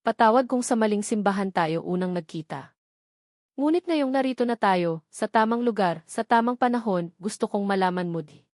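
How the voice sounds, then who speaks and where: A woman's voice: medium pitch, lively intonation, moderate pace, a little mellow, dry recording, clean studio sound, lightly acted. A woman with a Filipino accent voicing a devoted, tender man in love in an audio story, earnest and emotional.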